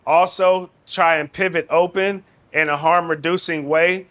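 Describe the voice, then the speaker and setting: A man speaks as a trainer in an instructional film. His voice sits a little high and has a very bright, forceful edge as he lays out guidance. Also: steady tone, unhurried. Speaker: de-escalation trainer.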